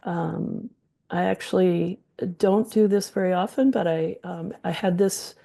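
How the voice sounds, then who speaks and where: An older woman speaks with a hoarse, gravelly rasp and a dark, mellow tone. She talks slowly and unhurriedly, presenting her quilts with frequent ums. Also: lowish voice. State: hoarse from the flu. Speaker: textile artist presenting her work.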